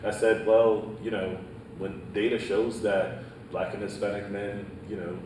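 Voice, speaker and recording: Deep-voiced man on a panel, roomy. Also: level tone, normal speed. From Haiti. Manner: earnest, repeats "right?" and "um", leans on data. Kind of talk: panel discussion answer. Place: panel event in a hall. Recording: echoey room, distant mic, background noise.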